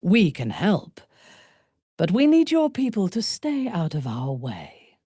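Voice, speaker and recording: A woman playing a proud, ruthless dark elf noblewoman in a video game, speaking formally and deliberately with a sardonic, coolly menacing manner. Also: lowish voice, wildly swooping pitch, slightly rough, dry recording, studio quality, heavily acted. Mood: resolute, grave. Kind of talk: video game character voice acting.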